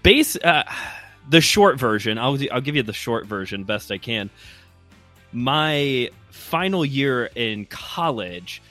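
A man's voice: mid-range voice, sing-song, takes it slowly, bright, forceful tone, faint music behind. A man in his twenties with a Chicago accent, telling a friendly, rambling story as a guest host on a podcast. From Chicago area, US Midwest. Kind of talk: casual podcast chat.

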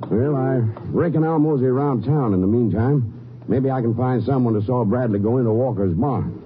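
An actor playing a folksy, alert small-town Texas sheriff in a 1950s radio drama. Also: male voice, mid-range voice, normal speed, slight background noise, lightly acted. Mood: puzzled, easygoing. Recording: thin, tinny old radio recording.

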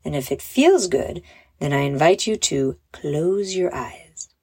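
A woman leading a guided meditation for children in a soft, breathy voice, with a soothing, gentle and encouraging manner. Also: low voice, animated, measured pace, dry recording. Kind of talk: guided meditation, scripted narration.

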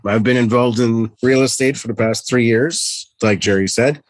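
A man in his forties from Trinidad and Tobago, a podcast co-host, introducing himself in a relaxed, matter-of-fact way. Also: mid-range voice, moderate pace, no room sound. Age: 40s. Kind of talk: casual podcast chat.

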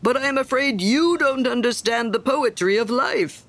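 A woman's voice: a little high, normal speed, voice pushed up. A woman voicing a pompous, self-righteous male miller who talks in a smug, preachy way. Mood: self-satisfied.

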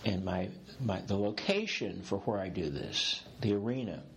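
A man's voice: sits low, big pitch swings, moderate pace, creaky, slight background noise. A man in his sixties talking as a guest in an interview, reflective and rambling, with restarts along the way. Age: 60s. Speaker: executive coach, guest. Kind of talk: interview conversation.

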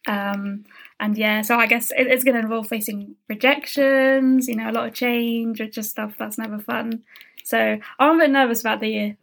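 Young woman with a gravelly, higher voice. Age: young adult. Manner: candid and teasing, quick asides. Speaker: podcast co-host. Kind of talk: casual chat.

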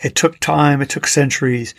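A man's voice: fairly high pitch, steady tone, normal speed, creaky, a bit bright. A middle-aged man hosting a solo podcast, talking in a loose, rambling way with many fillers and restarts. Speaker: podcast host. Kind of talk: casual solo podcast talk.

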